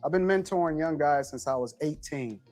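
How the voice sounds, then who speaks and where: A man's voice: on the high side, brisk, a little mellow, soft background music. A man in his thirties speaking firmly as a talk show guest. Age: 30s.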